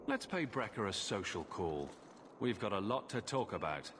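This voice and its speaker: Animated, persuasive adventurer hero. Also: male voice, fairly low pitch, moderate pace, background noise. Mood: resolute. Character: confident male adventurer, persuasive, sympathetic, quietly wry. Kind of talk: dramatic video game acting. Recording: music behind the voice.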